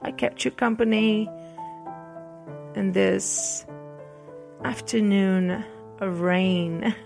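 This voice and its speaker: A woman podcast host whose first language is Portuguese speaks very slowly, with a gravelly edge to her voice. She recommends books and reads passages aloud, hesitating often. Soft music plays faintly behind her. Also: mid-range voice, steady tone. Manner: unhurried, hesitant, with fillers and restarts. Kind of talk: casual book recommendations and reading aloud.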